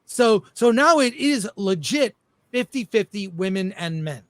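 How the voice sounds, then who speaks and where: A man's voice: high-pitched, expressive, a little slow, a bit bright, no echo. A man chatting casually as a panelist on a talk show. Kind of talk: casual chat.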